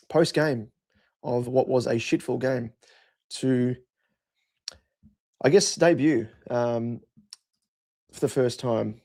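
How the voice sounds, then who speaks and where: An Australian man with a croaky voice, hosting a fan show and giving casual, rambling post-match commentary with hesitant pauses and repeated phrases. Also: mid-range voice, warm tone. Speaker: host of a football fan show.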